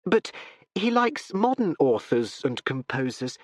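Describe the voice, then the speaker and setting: A man's voice: a little high, sing-song, a little slow, dry recording, studio quality. A man voicing a breathless, pleading young woman. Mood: insistent. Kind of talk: audiobook character reading.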